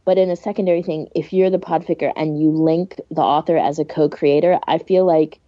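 A woman's voice: low voice, steady tone, fairly quick, no room sound. A woman co-hosting a podcast in a casual, informal chat, giving her opinions freely on a dull recording. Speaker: podcast host.

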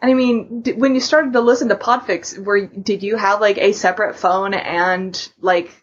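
A woman chatting casually as a podcast co-host, thinking aloud in a halting way. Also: medium pitch, fairly even intonation, husky. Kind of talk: casual chat. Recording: dull lo-fi voice recording.

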